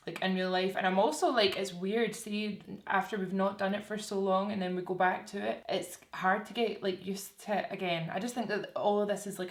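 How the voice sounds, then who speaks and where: A young woman chatting candidly to camera while doing her makeup, self-deprecating and open. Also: medium pitch. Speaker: beauty vlogger. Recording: echoey room with faint music.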